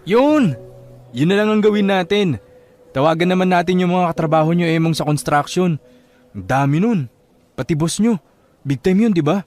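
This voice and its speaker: An actor playing a broke, evasive younger brother with a Filipino accent, casual and full of excuses in a radio drama. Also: male voice, sits high, normal speed, long pauses, darkish tone, close to an everyday voice. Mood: eager, glib. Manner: casual, shrugging, excuse-making. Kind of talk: Tagalog radio drama acting.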